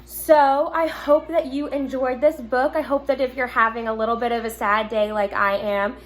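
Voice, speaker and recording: A woman teacher speaking gently and simply to young children, reading a picture book aloud at home with casual asides. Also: a little high, steady tone, normal speed. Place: at home in an apartment. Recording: noticeable background noise, home recording.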